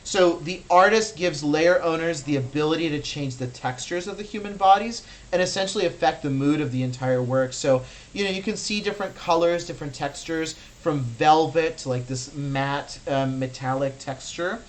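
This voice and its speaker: A man's voice: on the high side, normal speed, few pauses. A young American man, a native Russian speaker, guiding a virtual gallery tour in a chatty, enthusiastic way with many fillers. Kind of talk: casual guided tour.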